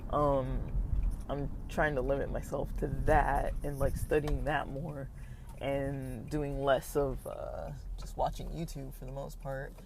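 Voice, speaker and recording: A man's voice: medium pitch, level tone, a little slow, gravelly, darkish tone. A young man talking casually in a personal vlog, hesitant and rambling with many fillers, his throat slightly scratchy. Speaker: vlogger.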